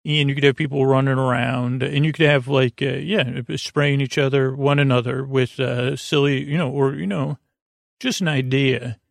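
Male podcast host, gravelly and dry-sounding, wandering haltingly through sleep-aid monologue. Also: mid-range voice, fairly even intonation, no room sound. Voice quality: creaky, gravelly. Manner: meandering, halting, often trailing off mid-thought. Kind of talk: rambling bedtime monologue to help listeners sleep.

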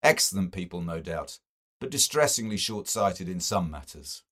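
A man's voice: sits low, lively intonation, moderate pace, fairly bright tone. A man voicing a sardonic, opinionated literary scholar in an audiobook, with mocking, declamatory delivery. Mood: dry disdain.

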